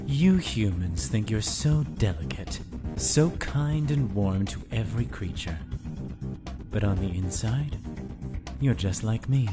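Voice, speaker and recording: An actor playing a cruel, mocking male prisoner in a fan audio drama, with a theatrical, taunting manner. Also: slow. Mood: menacing. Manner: sneering, theatrical, lively pitch. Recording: music playing underneath.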